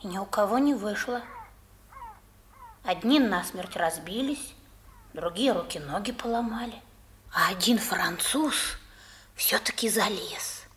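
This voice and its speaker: A woman's voice: mid-range voice, measured pace, pauses often, a bit bright, slight background noise, lightly acted. A woman playing a strange, daring young girl in a 1960s radio play, with a teasing, secretive manner, on an old recording. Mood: mysterious, matter-of-fact. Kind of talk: 1960s radio drama acting.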